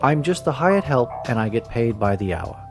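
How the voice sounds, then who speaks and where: A man voicing a pushy, scheming male lawyer who wheedles and coaxes in a raised, lively voice. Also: mid-range voice, normal speed, faint music behind. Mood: wheedling.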